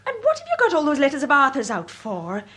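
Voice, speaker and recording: An actress playing a sensible young Irish daughter in a period radio drama, matter-of-fact and chiding. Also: female voice, sits high, wildly swooping pitch, quick pace, sharp tone, full character voice.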